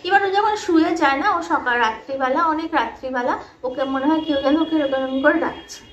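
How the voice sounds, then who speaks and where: A woman's voice: sits high, fast talker, fairly bright tone, dull audio. A woman casually retelling a ghost story in a chatty, unscripted way, mixing in English words. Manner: chatty, mixes English words into her telling, acts out dialogue. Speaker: storyteller on a horror audio story channel. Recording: roomy echo, faint background noise.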